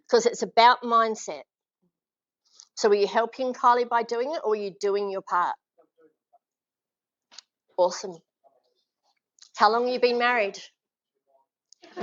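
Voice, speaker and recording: A woman with an Australian accent giving a live leadership talk, energetic and encouraging, and asking her audience questions. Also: a little high, no echo, highs cut off. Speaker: leadership speaker. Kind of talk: live presentation to a small audience. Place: function room with an audience.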